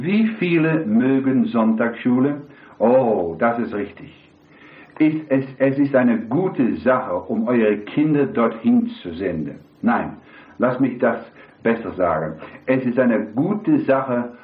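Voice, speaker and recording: A hoarse man preaches to his congregation, wandering from thought to thought and throwing questions out to the pews. His voice is recorded tinny and thin, like over a phone line, with a roomy echo around it. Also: medium pitch. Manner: rambling, asks the congregation questions. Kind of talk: sermon to a congregation. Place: church hall with congregation. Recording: echoey, tinny lo-fi hall recording.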